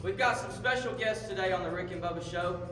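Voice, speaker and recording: A man's voice: sits high, fast talker, far from the mic, music in the background, lightly acted. A man playing a folksy, wry radio show host puppet in a comedy skit. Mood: upbeat showman. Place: live performance in a large hall. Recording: echoey hall from afar, background noise.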